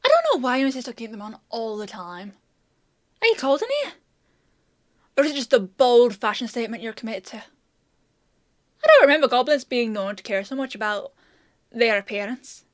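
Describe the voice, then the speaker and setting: A woman's voice: fairly high pitch, sing-song, moderate pace, long pauses, no echo, clean studio sound. A woman playing a bossy, teasing female apothecary in a fantasy audio roleplay, with a chatty, sardonic, playfully scolding manner.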